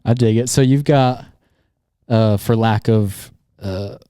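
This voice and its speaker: A man's voice: fairly low pitch, slow, mellow, clean tone, no echo, studio quality. A young man from Utah hosting a casual chat, laid-back and slangy, laughing easily. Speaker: podcast host.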